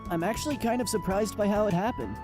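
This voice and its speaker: A man's voice: high-pitched, fast talker, close to an everyday voice. An actor plays an earnest, goofy student boy in love, a loyal buddy in a visual novel. Music plays behind his lines. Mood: bashful.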